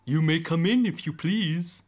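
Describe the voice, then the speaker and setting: A man's voice: fairly high pitch, a little slow, slight background noise, natural voice. A man voicing a placid, courteous cartoon panda who speaks formally. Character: courteous, unhurried sushi-eating panda. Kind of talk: children's cartoon acting. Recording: music behind.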